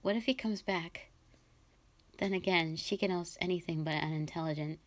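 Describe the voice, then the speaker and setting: A woman's voice: on the low side, moderate pace, lightly acted. A woman voicing a worried, hesitant young mother from a village in a scripted audio drama. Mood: anxious, hesitant. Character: anxious, frightened village wife and mother.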